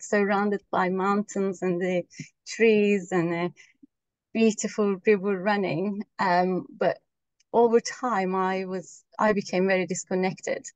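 A woman's voice: medium pitch, darkish tone, no room sound. Woman from turkey, thirties, video call, earnest, dry close sound. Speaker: program co-leader. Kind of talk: webinar self-introduction. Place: wood-panelled room, indoors.

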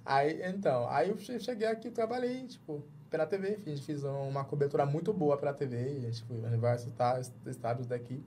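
A man's voice: on the high side, brisk, few pauses, warm tone, slight background noise. A man in his thirties from Jamaica with a Jamaican accent, a journalist guest in a small padded studio, often restarting words as he searches for them in Portuguese. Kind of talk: casual interview talk.

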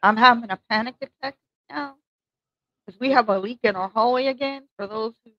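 Woman on a stream call, bright voice, chatty, dry dull audio. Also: on the high side, fairly quick, no echo. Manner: animated, swears, playfully indignant. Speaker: co-host. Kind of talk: casual livestream chat. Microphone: video call.

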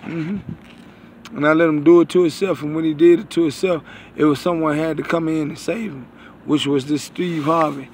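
A man in his thirties giving a rambling religious talk to viewers, halting and repeating his phrases, recorded on a phone outdoors. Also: on the high side, steady tone, darkish tone, a little hiss or hum. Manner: repetitive, halting, circling back over phrases. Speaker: online preacher, self-styled prophet. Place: outdoors beside a building. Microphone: phone at arm's length.

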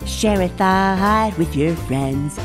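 A woman voicing a theatrical female pony, singing a cheerful children's musical number in drawn-out lines. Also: on the low side, music in the background. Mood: uplifting.

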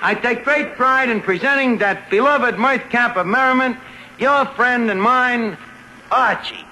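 An actor playing a wisecracking, scheming tavern manager with a slangy New York accent and a sardonic manner, in 1940s radio comedy style. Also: male voice, very high-pitched, a little slow. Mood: showy patter. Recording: noisy vintage radio broadcast recording.

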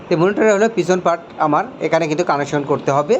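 A man's voice: a little high, racing, lo-fi sound, slight background noise. A man with a Bangladeshi accent giving a hands-on tutorial as an electrician, explaining step by step and repeating himself as he points things out.

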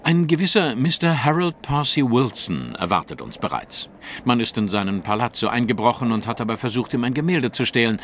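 An actor playing a self-assured gentleman detective in an audio drama, speaking briskly, precisely and with wry formality. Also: mid-range voice, sing-song, a little hiss or hum.

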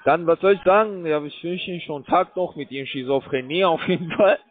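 A man's voice: a little high, no room sound. Bored crude call center guy, rambling, dry tinny phone sound. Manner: bored, crude, rambling, slow halting speech. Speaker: call center scam caller.

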